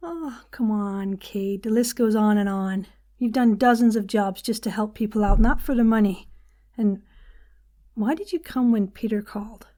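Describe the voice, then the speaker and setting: A woman's voice: medium pitch, a little slow, warm tone, natural voice. A woman playing a persuasive, good-humored partner in an audio drama, conversational and insistent. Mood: teasing, insistent.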